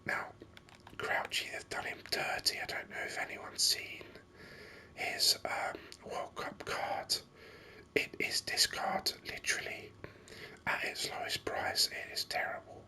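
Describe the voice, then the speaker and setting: Whispering man doing ASMR game commentary. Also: pushed and bright. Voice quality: breathy unvoiced whisper. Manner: unhurried, musing aloud. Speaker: video game streamer.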